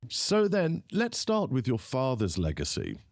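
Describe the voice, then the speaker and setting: A man hosting a podcast, reading a scripted introduction and then asking measured interview questions. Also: medium pitch, wildly swooping pitch, no echo, clean studio sound. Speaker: podcast host.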